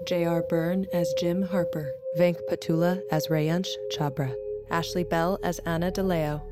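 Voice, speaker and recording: A woman announcer reading scripted credits in a measured, listing manner. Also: fairly low pitch. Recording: music underneath.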